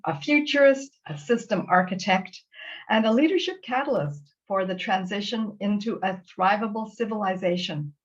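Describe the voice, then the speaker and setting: A woman hosting an interview over a video call, enthusiastic and a little halting, with some restarts as she introduces her guest. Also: lowish voice, dull audio. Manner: enthusiastic, with frequent restarts and fillers. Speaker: interview host. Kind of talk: warm conversational interview.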